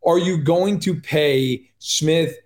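A man giving quick, opinionated sports analysis as a podcast guest, with wry joking asides. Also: fairly high pitch, darkish tone. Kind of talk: casual sports analysis chat. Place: live-streamed podcast.

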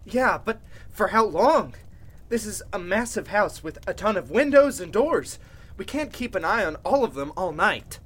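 A man's voice: sits high, lively intonation, moderate pace, fairly bright tone, slightly rough, exaggerated voice. A man playing an arrogant, capable, uneasy congressman in a golden age style radio mystery. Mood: urgent.

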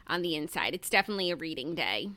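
A woman's voice: low voice, lively intonation, fast talker, bright, forceful tone. A woman co-hosting a podcast in casual chat, earnest and enthusiastic. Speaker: podcast co-host.

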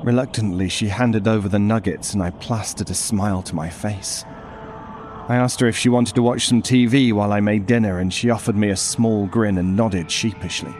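An actor playing an anxious, troubled father who narrates a horror story in an intense, brooding way with dramatic pauses. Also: lowish voice, darkish tone, natural voice. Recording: music behind the voice.

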